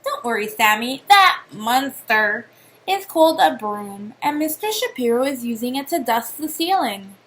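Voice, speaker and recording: A woman voicing a patient, gently firm mother spider in a children's storybook, explaining things and fondly chiding. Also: fairly high pitch, sing-song, a little slow. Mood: soothing. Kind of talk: children's storybook read-aloud.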